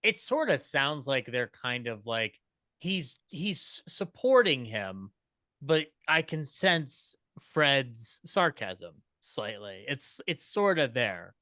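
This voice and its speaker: A man's voice: mid-range voice, very animated, slow, pushed and bright, no echo, clean studio sound. A man chatting casually as a panelist, rambling and repeating words as he works out his point.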